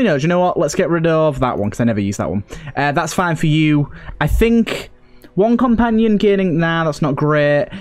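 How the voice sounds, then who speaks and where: A man's voice: a little high, darkish tone. A man giving casual commentary as he plays a video game, as host of a let's play.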